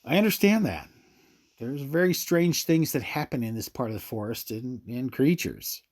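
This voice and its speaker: Actor as a wary forest ranger, swooping pitch. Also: male voice, expressive, normal speed, a little mellow, natural voice. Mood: wary, reluctant. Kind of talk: radio drama acting.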